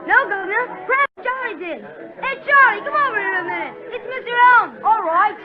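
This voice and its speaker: A woman's voice: very high voice, animated, brisk. A woman playing an eager, cheeky young London street boy in 1940s radio drama. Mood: eager, excited. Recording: muffled, very noisy old radio recording. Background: loud street crowd.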